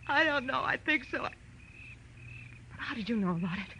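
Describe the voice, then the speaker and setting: Panicky, bright-voiced young woman. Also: high voice, animated, brisk, gravelly, highs cut off, full character voice. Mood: shaken, distressed. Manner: breathless, stammering, pleading. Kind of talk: 1940s American radio drama acting. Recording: noisy vintage radio recording.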